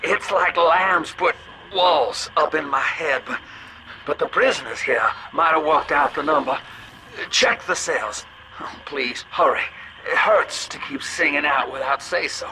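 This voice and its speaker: Anguished, higher-voiced captive man. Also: sharp tone, a little hiss or hum, rough recording. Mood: desperate pleading. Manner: folksy, halting, pleading. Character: weary, anguished captive man, helplessly controlled, pleading. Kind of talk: dramatic video game voice acting.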